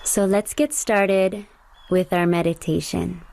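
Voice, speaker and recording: A woman's voice: lowish voice, fairly even intonation, vocal fry, a little mellow. Soothing woman guiding a meditation. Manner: slow, soothing and instructive. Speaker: meditation guide. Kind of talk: guided meditation.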